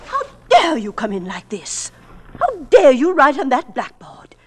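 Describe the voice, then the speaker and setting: A woman's voice: sits high, wildly swooping pitch, a little slow, heavily acted. An actress playing an indignant, suspicious woman in a 1950s radio drama, clipped and scolding. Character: indignant, suspicious woman guarding a professor's home.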